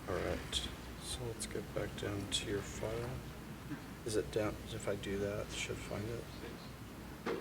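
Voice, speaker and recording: A man's voice: fairly low pitch, little pitch movement, unhurried, vocal fry, pauses often, recorded from a distance, heavy background noise. A man muttering to himself while troubleshooting a laptop on stage. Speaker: presenter setting up a laptop. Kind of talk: casual chat.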